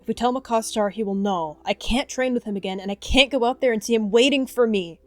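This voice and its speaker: A woman's voice: a little high, quick pace, lightly acted. A woman playing a frightened young apprentice cat, anxious and unsteady, in a roleplay audio drama. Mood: panicked. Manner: hushed, shaky, anxious. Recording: music behind.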